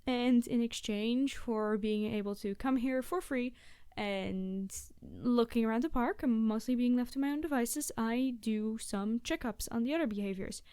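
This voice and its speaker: A woman acts out a sweet young biologist in an ASMR roleplay. Her voice is high and very smooth, recorded dry and close. She is a friendly animal enthusiast who explains eagerly, with small hesitations, straight to the listener. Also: moderate pace, mellow, no echo, lightly acted. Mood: earnest, enthusiastic. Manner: gushing, hesitant, chatty explaining.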